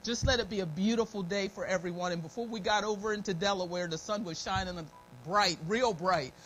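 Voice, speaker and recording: A woman's voice: medium pitch, brisk, fairly bright tone, faint music behind. A middle-aged American woman giving an informal, folksy, devout sermon as a church elder, her throat slightly scratchy and dry. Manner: folksy, devout, repeating phrases of thanks. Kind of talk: informal sermon with personal testimony and prayer. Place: church meeting room, at a pulpit. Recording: noisy live church stream recording.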